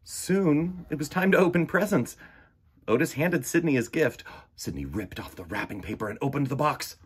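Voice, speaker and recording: A man reading a children's picture book aloud in an expressive, dramatic way, with swells and hushes. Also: fairly high pitch, moderate pace, husky. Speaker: children's storybook reader. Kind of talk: animated read-aloud narration of a picture book.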